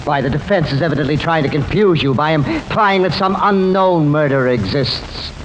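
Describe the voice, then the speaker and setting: Man playing a high-voiced, scornful radio prosecutor. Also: normal speed, a crowd in the background, natural voice. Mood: scornful, triumphant. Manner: rhetorical, sarcastic, declamatory. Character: scornful, theatrical male prosecutor in court. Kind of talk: old-time radio drama acting. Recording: noisy old radio recording.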